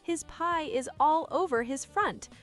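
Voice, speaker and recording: A woman voicing a bossy, exasperated young farm girl in a storybook, shrill and complaining. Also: measured pace, fairly bright tone, faint music behind.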